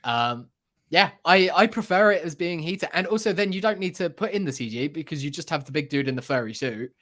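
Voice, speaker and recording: A man's voice: on the high side, expressive, brisk, sharp tone. A man giving his opinions in a casual, conversational film discussion as a podcast co-host.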